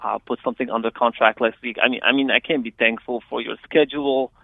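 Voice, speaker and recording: A man's voice: mid-range voice, steady tone, fast, creaky, no room sound. A man from Poland with a Polish accent, calling in to a coaching show and talking casually with fillers and restarts. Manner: hesitant, lots of fillers and restarts. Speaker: caller, real estate agent. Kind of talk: casual phone call. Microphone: phone. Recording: tinny phone line.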